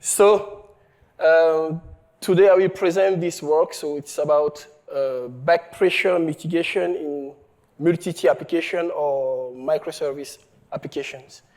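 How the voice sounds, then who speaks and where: A man from Cameroon, giving a conference talk on his research, halting often and checking in with the audience. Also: on the high side, steady tone, darkish tone. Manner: halting, many fillers and restarts, checks in with "okay?". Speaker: researcher presenting a paper. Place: conference hall.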